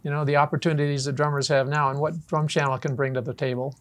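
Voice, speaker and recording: An older man in his seventies with a somewhat high voice hosts a roundtable. He talks conversationally and wanders through anecdotes, recorded on a lapel mic in a studio. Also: level tone, quick pace. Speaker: roundtable host. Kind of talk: conversational panel hosting. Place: drum studio, indoors. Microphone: lavalier mic.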